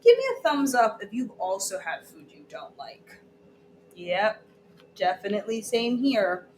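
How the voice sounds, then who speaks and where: A woman teacher reading a children's story aloud, deliberately, pausing to put questions to her listeners. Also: medium pitch, very animated. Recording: echoey room with background noise.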